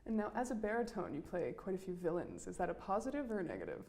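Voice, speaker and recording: A woman interviews a guest in a polite, scripted way. She talks quickly, and her voice has a gravelly edge. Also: medium pitch, wildly swooping pitch, darkish tone. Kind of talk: interview questions.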